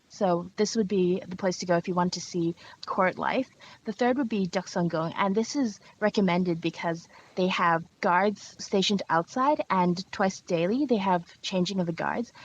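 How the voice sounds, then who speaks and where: A young woman from Hong Kong and Canada, answering conversationally as a guest in a travel interview. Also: fairly low pitch. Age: young adult. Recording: dull, noisy remote call.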